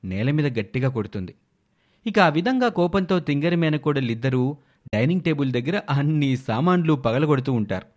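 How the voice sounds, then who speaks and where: A man narrating an animated moral tale in a scripted storytelling style. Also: a little high, moderate pace.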